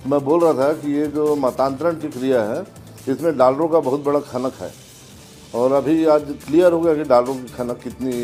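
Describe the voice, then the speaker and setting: A man from India speaks assertively at a medium pitch, laying out a statement. Faint music plays behind his voice. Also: a little mellow.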